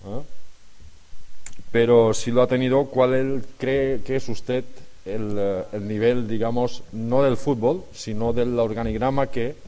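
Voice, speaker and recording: A man's voice: mid-range voice, steady tone, mellow. A man with a Mallorcan Catalan accent moderating a youth football panel discussion, introducing speakers and asking questions. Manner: introduces guests in Catalan, then switches to slow, careful Spanish for a foreign guest. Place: conference room.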